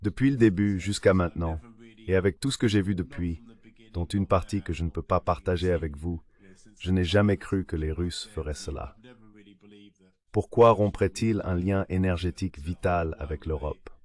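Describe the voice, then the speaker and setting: A man's voice reading a translated interview answer as political analysis, in a measured way. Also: sits low, darkish tone. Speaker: AI voice-over translating an interview guest.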